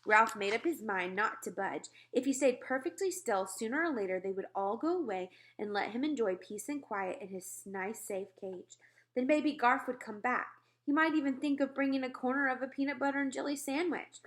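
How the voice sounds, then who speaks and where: A woman narrating a children's book aloud in an expressive storytelling style. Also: a little high, moderate pace.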